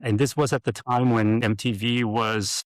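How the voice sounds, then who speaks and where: A middle-aged man from Brazil, telling his career story as a podcast guest, halting with many fillers and restarts. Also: medium pitch, fairly even intonation, dry recording. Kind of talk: interview storytelling.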